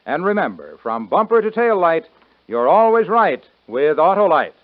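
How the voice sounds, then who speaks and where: A man delivering a scripted radio commercial in a brisk, upbeat sales manner. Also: on the high side, big pitch swings. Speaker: commercial announcer. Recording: tinny, dry 1950s radio broadcast.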